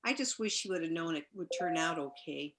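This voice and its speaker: A woman playing a polite, composed widow in a noir radio play, speaking in a measured, matter-of-fact way. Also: sits low, animated, a bit bright. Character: newly widowed shopkeeper's wife, polite and composed. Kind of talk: 1940s noir radio acting, live community theatre.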